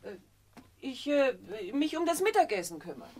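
An actress playing a dutiful, anxious grown daughter in a radio play, speaking politely and earnestly. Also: female voice, sits high, sing-song, moderate pace, a little mellow. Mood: evasive, soothing. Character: dutiful, anxious grown daughter caring for her sick mother.

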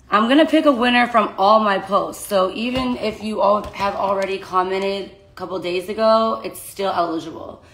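Raspy young woman on a phone livestream. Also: medium pitch, a bit bright, roomy sound, rough recording. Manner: chatty, laughing, talking to her dogs between points. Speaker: social media influencer. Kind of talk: casual livestream giveaway chat. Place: small bedroom indoors. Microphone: phone at arm's length.

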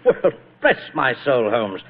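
An actor playing a genial, flowery old British stage actor in a 1940s radio drama, affable and polite, with a British accent. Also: male voice, medium pitch, wildly swooping pitch, slow pace, heavily acted. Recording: muffled, narrow-band old radio recording.

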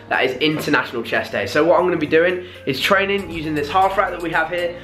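A man's voice: fairly high pitch, pushed and bright. A British man in his early twenties talking casually and enthusiastically as a fitness vlogger, explaining a workout to camera. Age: early 20s. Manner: enthusiastic, informal. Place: small brick garage home gym, indoors. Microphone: camera at arm's length or propped nearby. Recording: echoey small room, music behind. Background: music.

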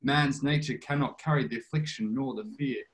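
A man's voice: medium pitch, normal speed, close to an everyday voice, poor audio. A man reading the part of a loyal, worried nobleman, earnest and urging. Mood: awed. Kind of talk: play read-through.

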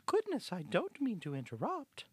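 A storyteller voicing a timid, polite little female mouse organist in a shy, gentle manner. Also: mid-range voice, huge pitch swings, moderate pace, breathy edge, no room sound, voice pushed up.

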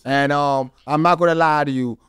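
A man with a fairly high, clean voice hosts a casual chat. He tells stories in slangy language and circles back to repeat phrases for emphasis. The sound is dry and close to the studio mic. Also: fairly even intonation, moderate pace, a bit bright, clean tone, no room sound. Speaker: podcast host. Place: small studio with foam panels. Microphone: close studio microphone.

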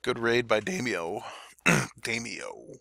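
Bright-voiced man commenting on game replays. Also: mid-range voice, slow, gravelly, no echo, clean studio sound. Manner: relaxed, chatty play-by-play. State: dry throat. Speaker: clan war recap commentator. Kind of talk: gameplay commentary over replays.

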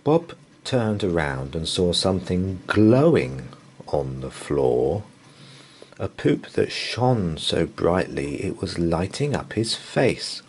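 A man's voice: low voice, big pitch swings, darkish tone, a little hiss or hum. A man reading a children's bedtime story aloud in a playful, theatrical way. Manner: playful, theatrical, drawn-out delivery. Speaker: storybook reader.

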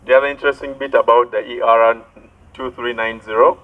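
A young man giving a product demonstration outdoors in short, halting statements, amplified through a megaphone. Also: mid-range voice, steady tone, phone-quality sound, very noisy. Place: outdoors by a building wall, some distance from camera. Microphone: megaphone and headset into portable speaker.

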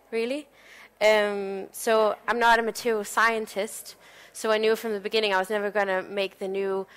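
Young Danish-born woman presenting at a conference, clear smooth voice, casual and loose with fillers. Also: mid-range voice, a bit bright. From Denmark. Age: young adult. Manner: informal, with frequent fillers. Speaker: panel speaker, designer.

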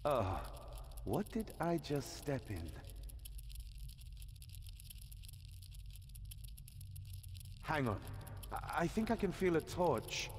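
A man's voice: a little high, very animated, a little slow, a little hiss or hum. A man playing a whiny, wisecracking, easily flustered adventurer's companion in a video game, with theatrical, hesitant grumbling. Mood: groping, bemused. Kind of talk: animated video game quest dialogue. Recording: long cavernous reverb, music behind.

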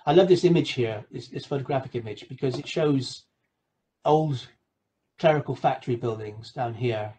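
A man giving an explanatory slide lecture over a video call, measured, with emphatic phrase openings. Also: mid-range voice, dark tone. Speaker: guest lecturer presenting a webinar.

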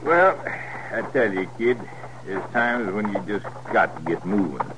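An American actor playing a sly, mocking outlaw leader with a rustic drawl in a 1950s radio Western. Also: male voice, lowish voice, expressive, unhurried. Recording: noisy 1950s radio recording.

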